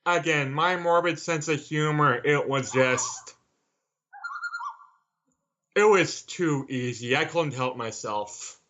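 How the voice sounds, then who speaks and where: A man answering as an interview guest, measured and wry in his manner. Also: on the high side, sharp tone, highs cut off. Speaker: author, podcast guest.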